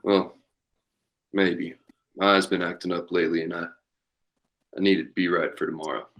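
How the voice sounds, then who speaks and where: A man's voice: low-pitched, level tone, normal speed, fairly bright tone, muffled recording. A man playing a haunted war-veteran leader of an outlaw gang in an amateur western table read. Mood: evasive.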